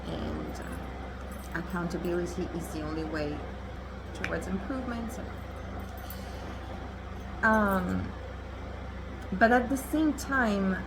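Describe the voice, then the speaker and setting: Italian-native woman, low voice, noisy street. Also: lively intonation, slow, pauses often. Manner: candid, chatty, assertive, laughs often. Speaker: tarot reader. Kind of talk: collective tarot reading.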